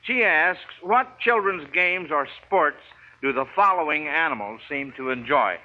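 A man's voice: fairly high pitch, lively intonation, a little slow. An American man hosting a 1940s radio quiz in a folksy, encouraging, avuncular way. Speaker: quiz show host. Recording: dull 1940s radio recording.

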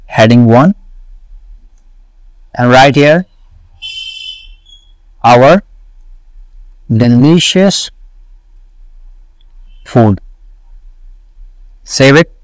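A man walking through a coding tutorial, slowly repeating each step and answering his own questions. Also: mid-range voice, dry recording, low-quality recording. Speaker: web development tutor. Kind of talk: screen-recorded coding tutorial.

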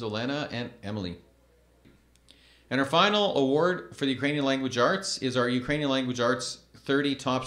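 A man in his thirties reading school award announcements from a podium microphone in a measured, formal way. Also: mid-range voice, fairly bright tone, echoey room. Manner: measured, formal, reads names slowly. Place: school event stage at a podium.

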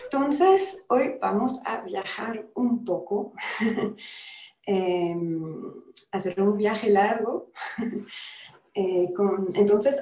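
French woman lecturing slowly on a video call. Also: medium pitch, warm tone, a little hiss or hum. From France. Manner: careful, searching for words, laughs lightly. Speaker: guest lecturer, trumpeter. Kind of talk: online lecture. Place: room with brass instruments. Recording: roomy video-call audio.

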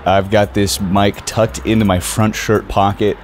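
A man's voice: on the low side, level tone, a little slow, a little hiss or hum. A young American man in his twenties presenting a product setup tutorial in a casual but scripted way, close to the microphone.

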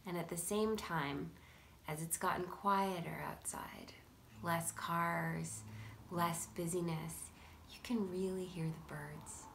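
A woman's voice: lowish voice, steady tone, slow pace, gravelly, a bit bright, a little hiss or hum. A middle-aged woman, a playwright talking informally and reflectively to camera in a room at home. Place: indoors, furnished room at home.

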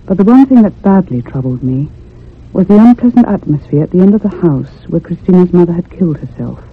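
A man playing a troubled, sensitive narrator in a radio ghost story, speaking in measured, earnest, literary phrases. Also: high-pitched, animated, husky, exaggerated voice. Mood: uneasy, sorrowful. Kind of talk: dramatic radio horror narration. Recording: tinny, narrow old radio recording with hum.

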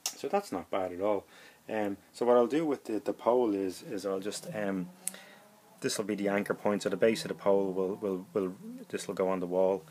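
A middle-aged man giving a casual, halting DIY demonstration as a hobbyist, thinking aloud as he goes. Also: fairly low pitch, steady tone, darkish tone, slight background noise. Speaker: hobbyist presenter.

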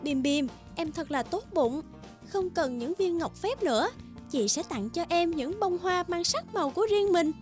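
A woman voicing a gentle, generous young fairy in an animated fairy tale, caring and reassuring like a big sister. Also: extremely high pitch, normal speed, soft background music. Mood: tender.

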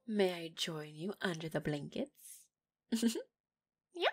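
A woman's voice: lowish voice, takes it slowly, soft background music. A young woman voicing a playful, affectionate neko girlfriend in an audio roleplay, giggly and teasing as she talks.

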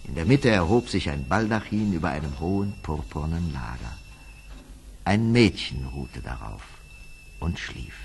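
A man narrating a scripted fairy tale as storyteller, measured and expressive, sometimes hushed, with a husky voice. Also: very deep voice, a little hiss or hum.

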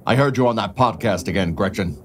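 A man's voice: on the low side, a little fast, a bit bright, a little hiss or hum, close to an everyday voice. A man playing a sarcastic, irritable newsroom boss in an audio drama, with a sardonic manner. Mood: sarcastic, irritated.

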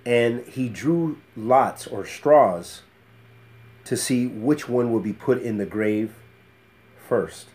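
A middle-aged man giving an informal Islamic lecture in a measured, halting, reflective way. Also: medium pitch, a little mellow. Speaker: Islamic teacher. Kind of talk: informal religious lecture with Arabic phrases and quotations. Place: small book-lined study indoors.

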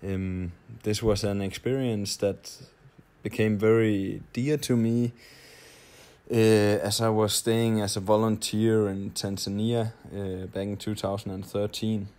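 A man in his late twenties from Denmark with a Danish accent, telling his story in a halting, hesitant audio-blog monologue. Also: fairly low pitch, little pitch movement, darkish tone. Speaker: traveler, audio blogger.

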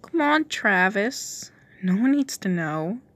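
Pushy young temptress for a story reading, voiced by a woman reader, slow, coaxing, half-whispered. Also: mid-range voice, very animated, no echo.

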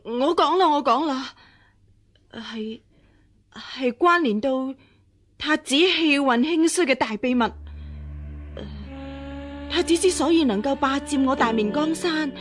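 An actress playing a scheming impostor empress dowager in a radio drama, deferential, hesitant and pleading. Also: female voice, high-pitched. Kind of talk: Cantonese radio drama acting.

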